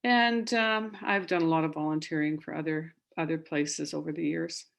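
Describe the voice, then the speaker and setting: A woman's voice: low-pitched, fairly even intonation, moderate pace, vocal fry. An older Canadian woman introducing herself in an online circle, talking hesitantly with frequent ums and restarts. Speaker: circle participant and volunteer board member. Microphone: video call.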